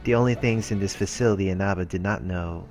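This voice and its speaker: A man's voice: low voice, fast, a little mellow. A man hosting a let's play, reading visual novel text aloud with muttered, musing asides. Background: game background music.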